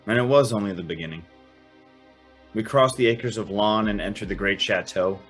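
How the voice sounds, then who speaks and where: A man's voice: on the low side, normal speed, a bit bright, slightly rough, soft background music. An actor playing an earnest, awestruck young man who also narrates an old-time radio drama. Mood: wondering narration. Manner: hesitant, stammering in dialogue.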